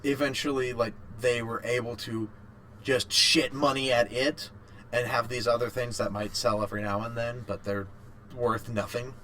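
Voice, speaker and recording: A man co-hosting a podcast in casual chat, rambling and haltingly repeating his phrases. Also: mid-range voice, fairly even intonation, sharp tone. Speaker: podcast co-host. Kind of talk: casual chat about video games.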